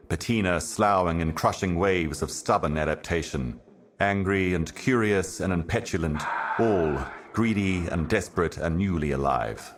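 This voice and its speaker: The Envoy, profoundly deep ancient cosmic herald. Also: male voice. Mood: ominous awe. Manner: solemn, portentous, measured oratory. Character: The Envoy, ancient cryptic otherworldly being warning of doom. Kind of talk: theatrical fantasy game monologue.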